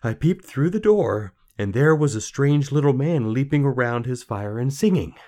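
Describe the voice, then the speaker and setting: Man playing an eager, excitable young stable lad. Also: mid-range voice, moderate pace, pitched above the performer's own voice, close to an everyday voice. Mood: eager urgent. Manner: urgent, loud, panting eagerness.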